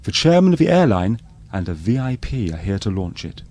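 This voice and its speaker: Low, mellow documentary narrator. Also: male voice, big pitch swings, fairly quick. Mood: earnest. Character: earnest male documentary narrator. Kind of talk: mock docu-soap scripted narration.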